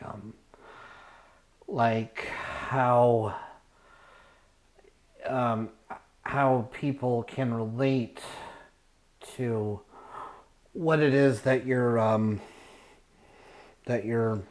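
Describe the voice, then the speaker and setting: Slow, halting male storyteller. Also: mid-range voice. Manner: halting, searching for words, trailing off. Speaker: storyteller, show host. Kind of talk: casual rambling personal anecdote.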